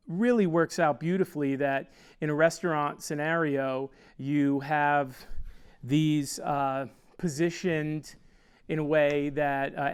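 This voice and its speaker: A man in his sixties, an audio designer, explaining a loudspeaker system in a technical, rambling way with fillers, on a lapel mic in a small studio. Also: on the high side, clear, resonant tone. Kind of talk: product explainer. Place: small studio with wooden backdrop.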